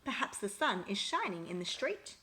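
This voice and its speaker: A woman's voice: mid-range voice, very animated, normal speed, bright, forceful tone. Woman voicing a plaintive, musing storybook cat. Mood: hopeful. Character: forgetful, self-pitying female pet cat, thinking aloud.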